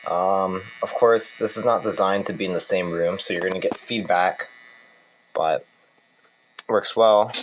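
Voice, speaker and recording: A man casually presenting equipment as a hobbyist, in an informal, halting manner, correcting himself as he goes. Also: lowish voice. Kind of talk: casual hands-on demonstration. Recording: clear background noise.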